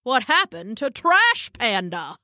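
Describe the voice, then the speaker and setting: A woman voicing a pompous, theatrical male raccoon from a storybook, with florid, drawn-out exclamations. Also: fairly high pitch, huge pitch swings, pushed and bright, no room sound, clean studio sound, heavily acted. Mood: indignant. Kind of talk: storybook character voice.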